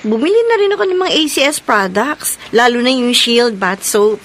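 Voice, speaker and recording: A woman's voice: on the high side, big pitch swings, moderate pace, fairly bright tone, slight background noise. An actress playing a chatty, teasing married woman in a radio drama, exclaiming and gushing. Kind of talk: Filipino radio drama acting.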